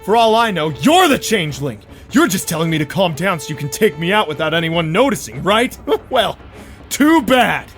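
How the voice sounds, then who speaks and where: A man plays a paranoid unicorn prince from a cartoon audio drama. His voice is high for a male and full of agitation, insisting and defending himself hotly, with clear music underneath. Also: big pitch swings, moderate pace, fairly bright tone. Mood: furious, paranoid. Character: paranoid unicorn pony prince, frantic and hot-headed.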